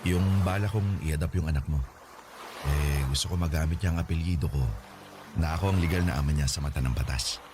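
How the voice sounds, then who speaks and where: A man playing a devoted and playful young groom-to-be in a radio drama, warm, teasing and sweet-talking. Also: a bass voice, a little fast, noisy background. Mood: loving. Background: background music.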